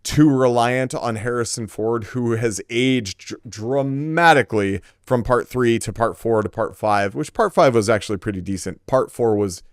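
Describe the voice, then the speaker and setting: Smooth-voiced man chatting on a podcast. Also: mid-range voice, moderate pace, hardly any pauses, no room sound, clean studio sound. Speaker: podcast co-host. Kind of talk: casual opinionated podcast chat.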